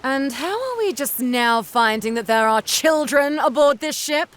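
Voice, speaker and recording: A woman's voice: high voice, lively intonation, measured pace, fairly bright tone. A woman playing an assertive but kindly pirate captain in an audio drama. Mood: stern, questioning. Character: assertive, reasonably kind female pirate captain.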